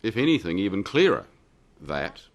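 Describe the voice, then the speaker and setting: A middle-aged man gives a conference speech in a very deep voice. His pitch swings widely to stress key words. He talks in a dry, self-deprecating way, with frequent ums and restarts. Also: very animated, normal speed. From New Zealand. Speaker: negotiations chair giving a conference speech.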